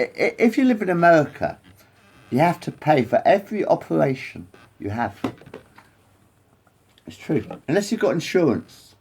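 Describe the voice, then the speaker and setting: Rambling, lively man. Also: medium pitch, slightly rough. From England. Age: 40s. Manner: repetitive, swears often, digresses. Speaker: vlogger. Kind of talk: rambling monologue to camera.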